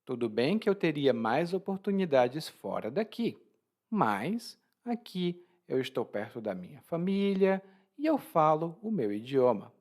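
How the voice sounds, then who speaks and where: Lively-voiced male teacher. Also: fairly high pitch. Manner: explanatory, frequent restarts and laughs. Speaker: Portuguese teacher. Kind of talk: language lesson explaining expressions.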